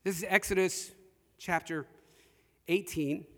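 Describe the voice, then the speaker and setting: A man's voice: high-pitched, steady tone, a little slow. A man preaching a sermon in a conversational storytelling way, addressing his listeners directly. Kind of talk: sermon with storytelling and scripture reading. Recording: long hall reverb.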